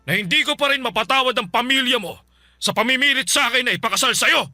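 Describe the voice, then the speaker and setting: An actor playing an irritable husband in a radio drama, speaking curtly and defensively. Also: male voice, very high-pitched, fast talker, music in the background. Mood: annoyed. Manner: curt, dismissive, defensive. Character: irritable husband dodging his wife. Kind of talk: Filipino radio drama acting.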